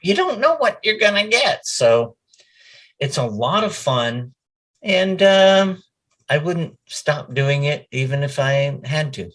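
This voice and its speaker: A man's voice: fairly high pitch, big pitch swings, slow pace, a bit bright. A man speaking as a voice actor being interviewed, chatting casually and telling stories with quoted bits of conversation.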